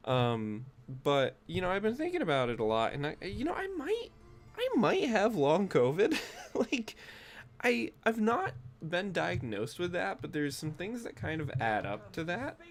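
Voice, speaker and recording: A man's voice: high-pitched, huge pitch swings, measured pace. Stuffy-nosed man chatting while gaming. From United States. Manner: rambling, many fillers and restarts. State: stuffy nose. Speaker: streamer. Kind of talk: casual gaming stream chat.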